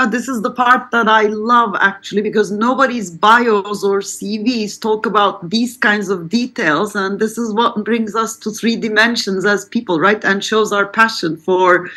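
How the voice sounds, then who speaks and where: A woman's voice: medium pitch, fairly bright tone. A woman moderating a panel discussion in a conversational style.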